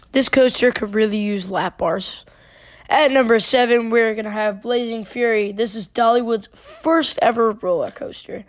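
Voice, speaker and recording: A woman with a stuffy, congested voice talks through a casual voice-over at a middle pitch. She rambles loosely, restarting words and leaning on fillers, recorded close to the mic. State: congested from being sick. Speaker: theme park video creator. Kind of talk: casual ride review voice-over.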